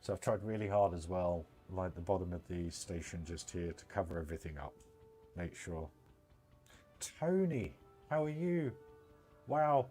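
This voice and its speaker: A man's voice: low voice, animated, normal speed, dark tone, husky, faint music behind. A British man hosting a game livestream, chatting informally and rambling as he thinks aloud. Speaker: livestream host. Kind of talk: casual game livestream commentary.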